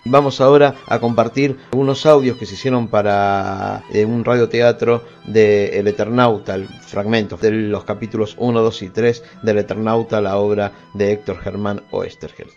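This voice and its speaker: A man's voice: fairly low pitch, fairly even intonation, moderate pace, hardly any pauses, darkish tone, dull audio, soft background music. A man presenting a radio show as its host.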